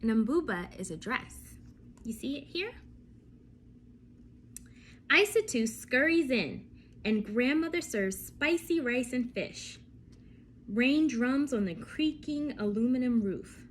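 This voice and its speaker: A woman reading a bedtime story aloud for children, expressive and often turning to ask her young listeners questions. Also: high-pitched, takes it slowly, pauses often, slight background noise.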